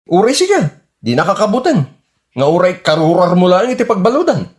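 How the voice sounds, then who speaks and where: A frank Ilocano buddy, acted by a man with a notably high male voice. The delivery is chiding and matter-of-fact, in radio comedy drama style. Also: big pitch swings, brisk. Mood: chiding, teasing.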